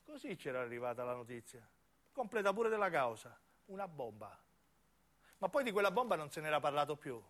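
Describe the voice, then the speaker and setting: High-pitched southern Italian man, dramatic storyteller. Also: big pitch swings, fairly quick, a bit bright. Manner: emphatic, incantatory repetition, acting out the characters as he tells. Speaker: narrating actor. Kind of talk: theatrical dramatic monologue storytelling for radio.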